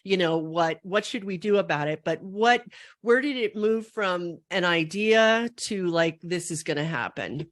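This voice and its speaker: A middle-aged woman hosts a relaxed podcast chat while getting over a cold, so she sounds a little sniffly. Her voice sits on the lower side, and she talks in a loose, rambling way full of fillers. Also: unhurried. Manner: chatty, rambling with many fillers. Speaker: podcast host. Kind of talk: casual interview chat.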